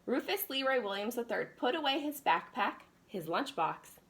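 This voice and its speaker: A woman's voice: on the high side, big pitch swings, normal speed, fairly bright tone. A woman reading a children's storybook aloud as a preschool teacher would, expressively addressing young children.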